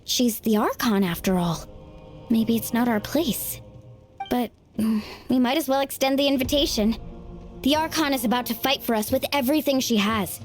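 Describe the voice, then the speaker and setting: A woman's voice: on the high side, normal speed, faint music behind, close to an everyday voice. A woman voicing an upbeat, impulsive teenage adventurer girl in an anime-style video game, breezy and enthusiastic. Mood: cheerful, considerate.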